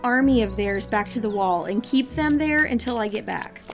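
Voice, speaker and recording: A woman playing a determined heroine agent in an audio drama, brisk and authoritative with a dry manner. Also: mid-range voice, animated, natural voice. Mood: commanding. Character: determined, commanding heroine agent, dryly patient. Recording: background music under the voice.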